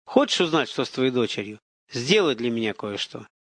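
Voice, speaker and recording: A man's voice: mid-range voice, very animated, brisk, a bit bright, dry recording. A man voicing a bitter, battered young prisoner in an audiobook, curt, hostile and coarse. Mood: urgent.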